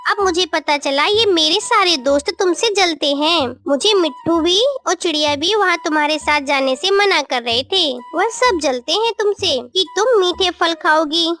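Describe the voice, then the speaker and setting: A naive mother crow played by a woman in a children's story, devoted and stubborn. Her voice is extremely high, almost like a child's, with earnest, pleading emphasis. Music plays behind her. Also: animated, normal speed, hardly any pauses, fairly bright tone. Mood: proud, dismissive.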